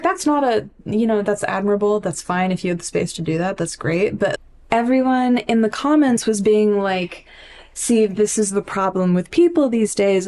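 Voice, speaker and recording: A woman's voice: medium pitch, creaky, darkish tone. A woman co-hosting a podcast in casual book discussion, chatty and peppering her sentences with "like". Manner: chatty, heavy use of "like". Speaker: podcast co-host.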